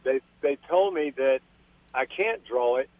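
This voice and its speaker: A man's voice: mid-range voice, lively intonation, measured pace, no room sound. Older man calling in over a phone line. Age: 70s. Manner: earnest, polite, says "ma'am". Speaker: caller. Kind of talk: call-in radio question.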